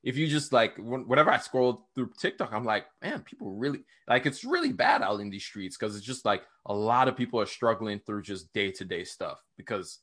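A young man hosting a podcast interview, talking in a casual, slangy, animated way and laughing often. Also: on the low side, brisk, few pauses. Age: young adult. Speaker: podcast host.

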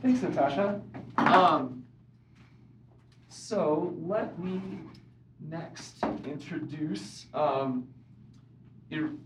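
Slow-speaking woman moderator, distant mic. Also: low voice. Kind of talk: moderating a panel. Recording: distant mic in echoey room, noise.

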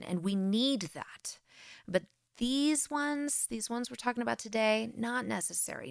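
An American woman hosting a faith podcast, talking directly and earnestly with lively emphasis. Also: medium pitch, creaky, no echo, clean studio sound. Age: adult with grown-past-baby children. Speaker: podcast host. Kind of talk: solo faith podcast talk.

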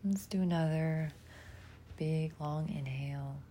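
A woman leading a guided imagery meditation in a soft, breathy, gentle voice, leaving long pauses between short, soothing phrases. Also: low-pitched, fairly even intonation, slight background noise.

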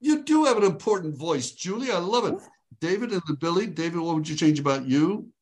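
A man leading a group workshop over a video call from his home, upbeat and joking, slowing down to dictate phrases for people to write down. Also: fairly high pitch, lively intonation. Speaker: workshop leader.

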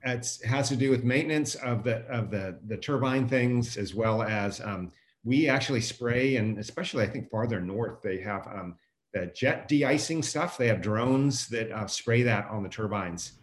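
A man's voice: medium pitch, moderate pace. An American man answering as a guest expert, explaining things in a casual, conversational way.

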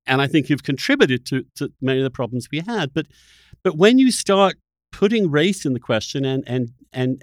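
A man in casual political discussion as a podcast host, emphatic and often halting to restart his phrases. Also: medium pitch, no room sound. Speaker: podcast host in conversation with a guest.

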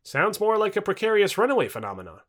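A man's voice: sits high, very animated, fast, fairly bright tone, pitched above the performer's own voice, exaggerated voice. A man voicing a gloomy, distrustful nineteenth-century male rebel against machines in a dialogue reading. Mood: wary.

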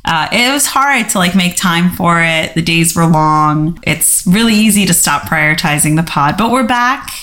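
A woman's voice: on the low side, animated, normal speed, hardly any pauses, fairly bright tone. A woman in her thirties co-hosting a podcast in casual chat, bubbly and playful, laughing often. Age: 30s. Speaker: podcast co-host.

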